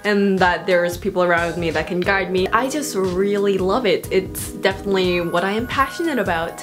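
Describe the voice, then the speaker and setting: A teenage girl answering viewer questions in a casual vlog, bubbly and self-mocking, with quick asides. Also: medium pitch. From South Korea. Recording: music playing under the voice. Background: background music.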